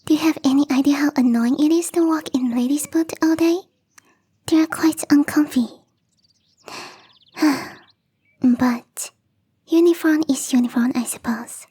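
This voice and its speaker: Soft, high-pitched whispery catgirl acted by a woman. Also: measured pace, long pauses. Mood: mildly grumbling. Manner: gently teasing, confiding, addressing the listener directly. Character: gentle young catgirl gardener, teasing, earnest, soft-hearted. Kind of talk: ASMR roleplay, intimate scripted acting.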